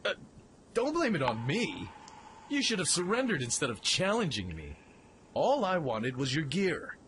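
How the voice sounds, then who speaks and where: A man's voice: a little high, huge pitch swings, normal speed, fairly bright tone, slight background noise, full character voice. A man playing a cocky, self-reliant young mech pilot in a radio play, with a brash, swaggering delivery. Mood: irritated, challenging.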